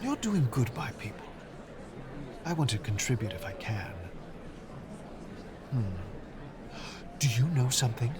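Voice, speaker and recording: A man's voice: medium pitch, sing-song, measured pace, pauses often, heavy background noise. A man playing a polite, eager young Englishman. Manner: polite, rambling, enthusiastic.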